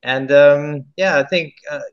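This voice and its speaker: A man's voice: fairly high pitch, a little slow, clear, resonant tone, highs cut off. A South African singer in his thirties, answering interview questions in a rambling way with many restarts. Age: 30s. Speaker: singer-songwriter guest.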